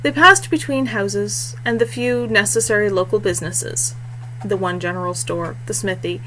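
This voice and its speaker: A woman's voice: mid-range voice, moderate pace, bright, forceful tone. A woman in her thirties reading fiction aloud expressively, like an audiobook, recorded at webcam distance in a small room. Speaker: author reading own fiction. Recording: noisy home webcam recording with hum.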